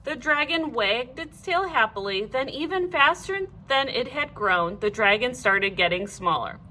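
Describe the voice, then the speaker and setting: A woman reading a children's storybook aloud like a teacher, in an animated, clearly phrased way. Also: on the high side, a little slow, a little hiss or hum.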